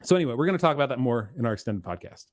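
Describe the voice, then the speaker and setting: A man's voice: medium pitch, animated, rapid-fire, no echo, studio quality. A man hosting a podcast in a casual, informal and rambling way. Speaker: podcast host.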